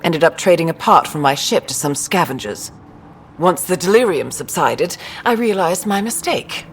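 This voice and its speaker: An actress playing a stranded field scientist in a video game, with a wry, expressive delivery. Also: female voice, lowish voice, moderate pace, a little hiss or hum. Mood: rueful, wry. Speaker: scientist character.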